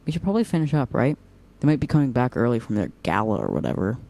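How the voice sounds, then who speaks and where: A man's voice: mid-range voice, wildly swooping pitch, quick pace, creaky, a little mellow, full character voice. A man playing a whimsical, teasing young operative in an audio drama, talking in a playful, mock-dramatic way.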